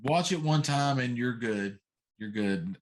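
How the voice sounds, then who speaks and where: A man's voice: medium pitch, expressive, measured pace. A middle-aged man co-hosting a casual talk show, giving emphatic, grumbling opinions and repeating himself for effect. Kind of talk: casual opinionated chat about pop culture.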